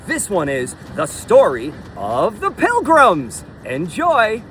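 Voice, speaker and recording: A man reading a children's story aloud outdoors in an expressive, dramatic way, with deliberate phrasing and emphatic pauses. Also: sits high, slight background noise. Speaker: children's read-aloud reader, teacher and entertainer. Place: outdoors on a beach.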